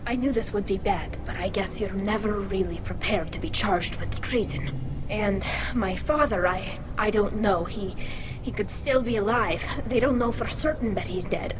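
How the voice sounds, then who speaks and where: A woman's voice: medium pitch, moderate pace, rough recording. A woman playing an earnest, emotional young alien in a video game, her voice filtered through a helmet. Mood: anxious, uncertain. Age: young adult. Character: earnest young female alien engineer, anxious and loyal. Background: music.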